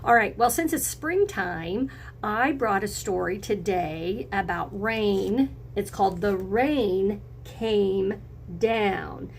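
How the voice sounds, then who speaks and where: A woman's voice: mid-range voice, slow pace, slight background noise. A woman teacher reading a picture book to young children, speaking expressively in simple phrases and putting encouraging questions to her listeners. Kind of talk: read-aloud lesson for children, with questions to listeners.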